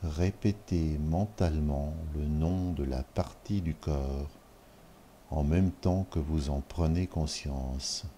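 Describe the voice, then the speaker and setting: Very deep, slow-speaking man guiding meditation. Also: warm tone, a little hiss or hum. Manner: measured, instructional, with long pauses between short phrases. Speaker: yoga nidra guide. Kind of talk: guided relaxation meditation.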